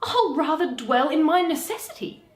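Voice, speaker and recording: A woman playing an earnest, worried, loyal gentleman in a dramatic Shakespeare reading, in a roomy space. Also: sits high, big pitch swings, moderate pace, pitched above the performer's own voice. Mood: worried protest. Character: Bassanio, earnest, anxious, loyal gentleman friend. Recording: roomy, echoing.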